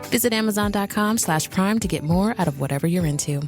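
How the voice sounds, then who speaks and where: A woman's voice: mid-range voice, expressive, quick pace. A woman delivering a scripted, upbeat advertisement read. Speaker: advertisement reader. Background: guitar music bed.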